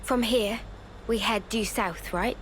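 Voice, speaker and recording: Frank young fighter, high and unhurried. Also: female voice, slight background noise, low-quality recording. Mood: easygoing. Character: frank, assertive young female fighter, level-headed. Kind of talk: video game cutscene acting.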